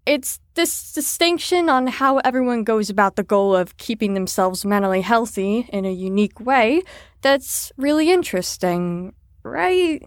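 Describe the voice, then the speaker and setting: A woman's voice: fairly high pitch, animated, measured pace, no room sound, clean studio sound. A woman in an audio drama playing an earnest, caring facility staffer who talks in a reassuring, sincere, slightly rambling way. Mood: earnest, encouraging.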